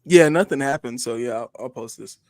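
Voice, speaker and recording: A man's voice: medium pitch, brisk, a little mellow, no echo, studio quality. A man hosting a stream in casual debate, making his points insistently and repeating himself. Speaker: stream host.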